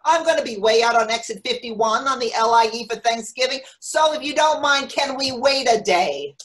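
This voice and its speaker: A woman's voice: a little high, normal speed, close to an everyday voice. A woman playing a wry, exasperated mother in labor, telling her story in a staged monologue reading. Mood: wry exasperation. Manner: animated storytelling, quoting others. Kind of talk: dramatic monologue reading for readers theatre. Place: remote reading over video call. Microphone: video call.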